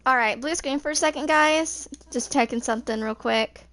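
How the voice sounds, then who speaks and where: A woman's voice: high-pitched, normal speed, a bit bright. A woman in her twenties chatting casually to viewers as a streamer, informal and rambling. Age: 20s.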